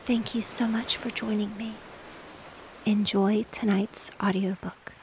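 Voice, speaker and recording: A woman narrating a bedtime audiobook in a soft, whispered, soothing ASMR style. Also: mid-range voice, level tone, a little slow, slight background noise. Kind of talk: ASMR bedtime story reading.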